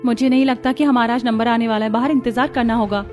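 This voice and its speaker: A man plays a young woman customer in a cartoon, chatty and polite. The voice is very high and girlish, with light music behind it. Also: steady tone, fast talker. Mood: eager, chatty. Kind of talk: Hindi cartoon dubbing.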